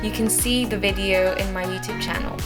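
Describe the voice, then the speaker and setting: A woman's voice: medium pitch, fairly even intonation. A woman in her twenties, raised in France, earnestly reading out numbered facts about herself as a vlogger. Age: 20s. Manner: earnest, measured, announces each numbered fact. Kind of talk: reading a list of personal facts. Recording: voice-over with clear background music. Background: background music.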